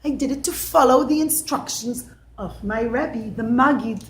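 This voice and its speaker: A woman's voice: a little high, moderate pace, a little hiss or hum, close to an everyday voice. Brief: woman playing a humble, earnest poor young man, echoey room.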